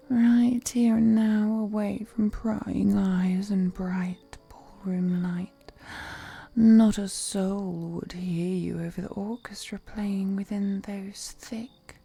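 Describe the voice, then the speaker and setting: Woman acting as ancient vampire noblewoman, super slow seductive murmur, breathy and half whispered, flirty predator, music behind her. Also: medium pitch, warm tone, heavily acted. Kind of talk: intimate audio roleplay acting.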